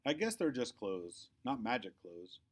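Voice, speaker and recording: A man's voice: mid-range voice, very animated, a little slow. Sullen young boy, read by a man. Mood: wistful. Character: sullen young boy narrating his own hurts, defiant. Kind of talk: children's novel read aloud, first person.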